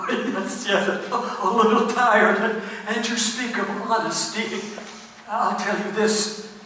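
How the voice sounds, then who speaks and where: An actor playing a sickly, agitated, gloomy master of a gothic house in a radio play, halting, theatrical and fretful. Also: male voice, sits high, steady tone, pushed and bright, full character voice. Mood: agitated, weary. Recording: very echoey hall, distant mic, faint noise.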